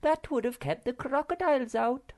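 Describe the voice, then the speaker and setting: A woman voicing a dainty, sensitive young girl creature with a prim, gentle manner. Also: sits high, normal speed, voice pushed up.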